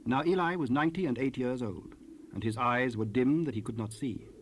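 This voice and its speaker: A man's voice: mid-range voice, a little fast. A man narrating scripture in archaic English for a dramatized Bible recording. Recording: noisy raw cassette rip.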